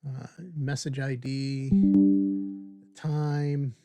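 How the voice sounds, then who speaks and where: A man in his fifties hosting a casual screen-share software tutorial, informally thinking aloud as he demonstrates. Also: mid-range voice, little pitch movement, very slow, loud music behind the voice. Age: 50s. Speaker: video tutorial host.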